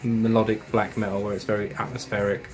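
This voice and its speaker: A man's voice: fairly low pitch, flat delivery, brisk, a little mellow, soft background music. A man casually reviewing metal records and tapes, enthusiastic and chatty, with slang and swearing.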